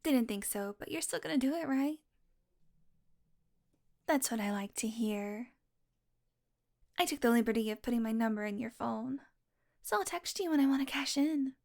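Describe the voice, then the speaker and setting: A woman's voice: fairly high pitch, lively intonation, moderate pace, creaky, pauses often, a little mellow. A woman voicing a teasing, possessive vampire who dotes on her prey, speaking intimately and smugly to the listener in an audio roleplay. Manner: smug, flirtatious teasing with mock annoyance.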